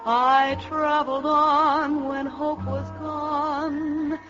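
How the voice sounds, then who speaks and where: A woman's voice: sits high, flat delivery, drawn-out words, music in the background. A woman singing 1950s pop as a featured radio vocalist. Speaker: featured singer. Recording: dull 1950s radio recording.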